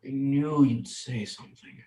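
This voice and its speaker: A man's voice: medium pitch, steady tone, unhurried, dark tone, natural voice. A man playing an earnest, defensive young researcher with a nervous, hesitant manner in a script reading.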